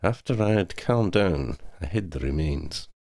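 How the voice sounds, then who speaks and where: Man voicing a proud, pompous talking cat, animated pitch. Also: normal speed, creaky, no room sound, clean studio sound. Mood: sombre confession. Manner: pompous, formal wording with Scottish turns of phrase. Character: proud, aggrieved talking male cat, lordly fighter.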